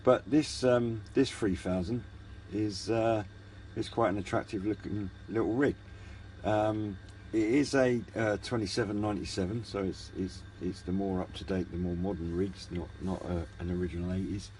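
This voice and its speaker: A man giving a casual, unscripted hobbyist product review in a hesitant, low-key, rambling way. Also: fairly even intonation, normal speed, darkish tone, poor audio. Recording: clear background noise, room ambience.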